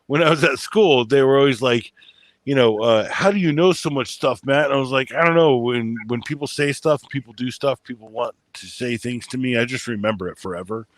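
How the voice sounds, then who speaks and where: A man's voice: mid-range voice, fairly quick, dry recording. A man hosting a casual chat with friends, rambling through stories with lots of fillers and swearing, in a soothing voice. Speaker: channel host.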